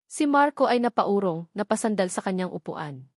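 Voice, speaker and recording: A woman's voice: medium pitch, expressive, moderate pace, a little mellow, no room sound, studio quality. A woman with a Filipino accent narrating a scripted dramatic story.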